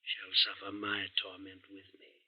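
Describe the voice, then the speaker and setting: A man's voice: deep voice, monotone, bright, forceful tone, husky, exaggerated voice. An actor playing the menacing, remorseful ghost of a haggard man, whispering solemnly in an old-fashioned radio mystery. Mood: grave, warning. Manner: whispering, solemn, slow doom-laden pronouncements.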